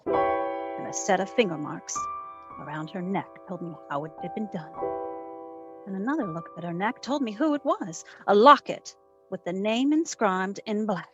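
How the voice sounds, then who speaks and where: A woman playing a wisecracking, hard-boiled private detective in an old-time radio drama, telling her case in a wry, deadpan way. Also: medium pitch, close to an everyday voice. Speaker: lead actor in community theatre radio recreation. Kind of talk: old-time radio detective acting, first-person narration and dialogue. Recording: dull lo-fi recording with music underneath.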